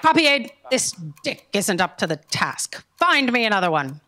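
A woman's voice: mid-range voice, very animated, moderate pace, pushed and bright. A woman playing an impatient, imperious editor boss in a staged comedy audio drama, with a dry, commanding delivery. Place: theatre stage. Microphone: stand microphone.

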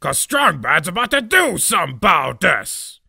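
A cocky cartoon wrestler with a short temper. The voice is very gravelly and hard-edged, blustering and sarcastic, with pitch that swings widely. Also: male voice, sing-song, normal speed, sharp tone, slight background noise, exaggerated voice. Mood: furious. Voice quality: gravelly, raspy. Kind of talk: cartoon fandub acting.

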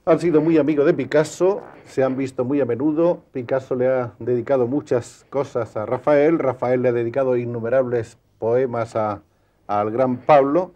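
Measured, mellow-voiced male TV interviewer. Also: medium pitch, fairly even intonation, highs cut off. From Spain. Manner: measured, informative prompting. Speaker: TV interviewer host. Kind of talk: formal interview presentation. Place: TV studio.

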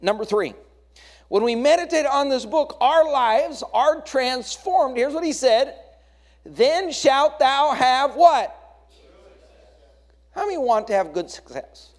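A man's voice: very high-pitched, animated, slow pace, long pauses. An American man preaching a sermon as a pastor, exhorting his congregation with questions, repeated phrases and emphatic lists.

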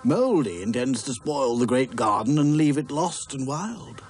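A man doing a pompous old storybook king, grand and solemn. His voice is pushed a little higher and louder than his own when he declares. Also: expressive, measured pace, slight background noise, natural voice. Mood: declaring.

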